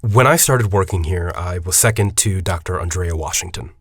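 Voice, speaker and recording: An actor playing a forty-year-old university headmaster in an audio drama, a secretive academic who speaks in a deliberate, articulate, confiding way. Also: male voice, low-pitched, husky, dry recording, clean studio sound. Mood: confiding, somber. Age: 40s. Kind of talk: audio drama dialogue and storytelling.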